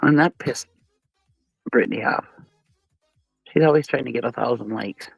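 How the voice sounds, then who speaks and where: A woman's voice: rumbling low, moderate pace, gravelly, breathy edge, dry recording, faint music behind, low-quality recording. A woman with a stuffy nose from a cold, hosting a casual livestream and chatting bluntly with viewers, often laughing and swearing. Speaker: livestream commentary host.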